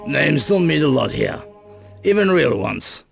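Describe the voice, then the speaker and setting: An actor playing a wary, menacing underworld boss in a video game, guarded and dismissive in manner. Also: male voice, fairly high pitch, big pitch swings, unhurried, creaky, faint music behind, heavily acted. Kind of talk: video game cut-scene acting.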